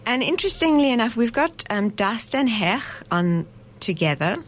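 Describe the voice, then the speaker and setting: A woman's voice: a little high, very animated, moderate pace. A middle-aged woman, a festival director, speaking thoughtfully in a radio studio interview, with frequent fillers and restarts. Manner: thoughtful, hesitant with fillers and restarts, laughs easily.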